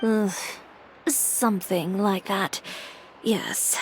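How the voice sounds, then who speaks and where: A woman's voice: medium pitch, fairly even intonation, extremely slow, very noisy. A woman voicing a self-assured young fashion designer, composed, blunt and dry, in a video game.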